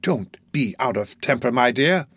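A man voicing a crafty, wheedling old male fence in a storybook reading, slow and fawning. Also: mid-range voice, animated, a bit bright, breathy edge, no echo. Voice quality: oily, fawning, drawn-out.

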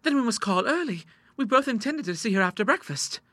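Audiobook role: deferential, demure young woman voiced by a man, high and bright. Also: animated, a little fast, no room sound, full character voice. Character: demure, polite young sister addressing her Papa. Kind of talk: audiobook character voice.

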